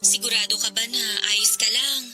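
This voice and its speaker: A woman's voice: a little high, steady tone, fairly quick, over music, close to an everyday voice. A woman playing a concerned, earnest schoolgirl best friend who urges and cares, in a radio drama. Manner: earnest, urging, caring.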